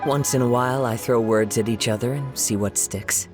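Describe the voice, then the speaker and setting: A man playing a calm, courteous young wandering poet with a soft-spoken, modest manner in a video game. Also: mid-range voice, normal speed, close to an everyday voice. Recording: music playing behind the voice.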